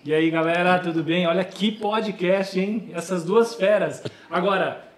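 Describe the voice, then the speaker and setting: A man's voice: sits high, steady tone, unhurried, a little hiss or hum. A man with a Brazilian accent chatting casually in an echoey room. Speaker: guest's husband, off-mic visitor. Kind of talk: casual greeting chat. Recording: echoey room, crowd-like murmur behind.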